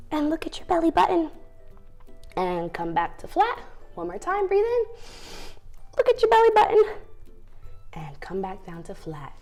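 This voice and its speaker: A woman leading a story time ballet lesson for young children, giving encouraging instructions and sing-song questions. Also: medium pitch.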